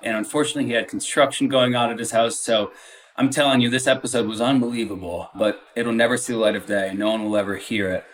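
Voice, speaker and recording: Male podcast host, informal and chummy interviewer, mid-pitched, a little off the mic. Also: steady tone, fast talker, far from the mic. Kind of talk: casual interview chat.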